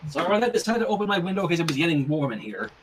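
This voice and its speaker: A man's voice: fairly high pitch, rapid-fire, a crowd in the background. A man reading fan fiction aloud and commenting on it in a casual, conversational way. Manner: animated, conversational. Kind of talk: casual commentary and story narration.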